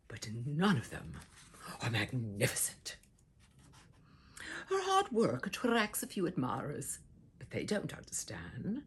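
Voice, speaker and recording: A woman reading a children's picture book aloud in a theatrical, exaggerated way, with a breathy voice and dramatic shifts in delivery. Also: on the low side. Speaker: children's storyteller and read-aloud host.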